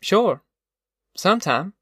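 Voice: mid-range voice, very animated, slow pace, a bit bright, slightly rough, no room sound, studio quality, voice pushed up, full character voice. A reader voicing a cocky, evasive young country man with an offhand rustic drawl in an audiobook story.

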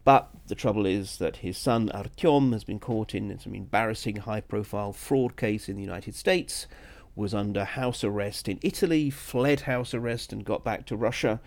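A man's voice: lowish voice, moderate pace, vocal fry. A man talking through political analysis as a solo podcast host, conversational and discursive, with asides and self-corrections.

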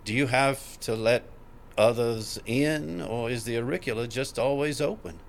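A man's voice: medium pitch, a little slow, creaky, slight background noise. An actor playing a polite, curious male colleague in a fiction podcast, speaking carefully and hesitantly. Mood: tentative, curious. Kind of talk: scripted fiction podcast acting.

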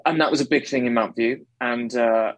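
A man in his thirties from England with a baritone voice, a guest talking earnestly about his training, often correcting himself mid-sentence. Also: normal speed, no echo. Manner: earnest, self-correcting, effusive. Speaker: guest, actor and singer. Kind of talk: conversational interview.

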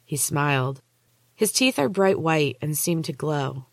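A woman reading a first-person story aloud as author and host, in a measured, hushed, dramatic narrating style. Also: deep voice, expressive, gravelly, no echo. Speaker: author and podcast host reading own book.

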